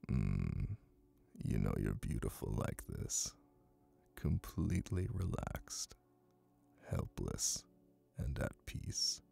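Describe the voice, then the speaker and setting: An exceptionally deep, crackling voice from a male actor plays a smug, dominant hypnotist boyfriend in an ASMR roleplay. He coaxes and teases intimately, unhurried and breathy, yet soothing. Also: darkish tone, full character voice. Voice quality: gravelly, breathy. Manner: slow, coaxing, teasing.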